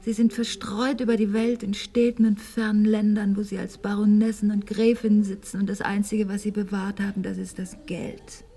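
An actress playing a wistful, high-strung lady of a wealthy household in a German radio play, speaking lyrically and haltingly, often hushed. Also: little pitch movement, breathy edge. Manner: theatrical, lyrical, halting, often dropping to a hush.

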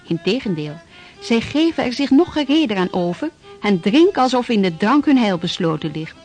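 An actress playing a formal reciter of old historical documents in a scripted radio drama. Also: female voice, fairly high pitch, big pitch swings, normal speed, darkish tone, soft background music. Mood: disapproving.

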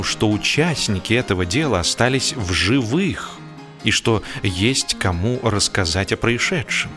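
A man narrating a humorous first-person story expressively, with dramatic pauses and a shifting tempo. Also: medium pitch, a bit bright. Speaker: audiobook narrator. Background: music.

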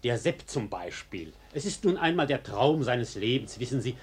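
A man's voice: medium pitch, big pitch swings, normal speed, husky. An actor playing a sly male arsonist in a radio play who poses as a polite, ingratiating dinner guest. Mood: mock politeness. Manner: ingratiating, mock-polite, insinuating. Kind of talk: German radio play acting.

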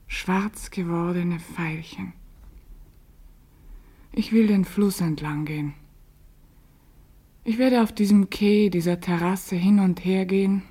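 Breathy, slow-spoken grieving woman. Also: fairly low pitch, dark tone. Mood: hushed mourning. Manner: solemn, measured, lyrical delivery. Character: grieving, lonely, dreamy woman in a literary radio play. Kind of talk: poetic interior monologue, German radio drama.

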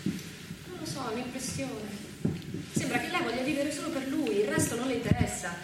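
An actress playing a curious, uneasy soldier's wife in a radio drama, natural and halting in delivery. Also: female voice, high voice, lively intonation. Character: curious, uneasy soldier's wife, tender toward her man. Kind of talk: Italian stage-style radio drama. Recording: roomy, noisy, distant-miked radio broadcast.

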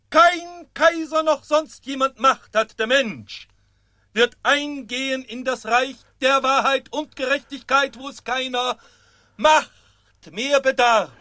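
A man acting a gentle, frightened but steadfast captive preacher in a literary radio play, speaking solemnly and haltingly with a pleading manner. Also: extremely high pitch, no echo, studio quality, heavily acted.

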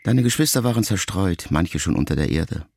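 A man in a radio production reading a letter aloud as literary narration, quiet and reflective, with phrase endings that trail off softly. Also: sits low, animated, a little fast, breathy edge, no room sound, studio quality.

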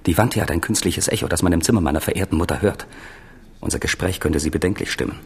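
A man's voice: low voice, fast, husky. A man acting a scheming, resentful younger prince in a radio play, confiding and sardonic. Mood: bitter, scornful.

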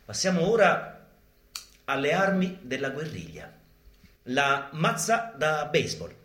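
Male actor for urban guerrilla instructor in radio theatre: emphatic, mock-instructional, very animated pitch, roomy live sound. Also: takes it slowly, heavily acted. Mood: wry, instructive.

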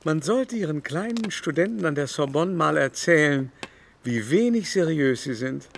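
An actor playing an aging, corpulent French aristocrat in a literary radio drama, teasing and flattering in a mannered, drawn-out way. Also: male voice, a little high, creaky, slight background noise. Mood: arch teasing. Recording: music playing behind the voice.

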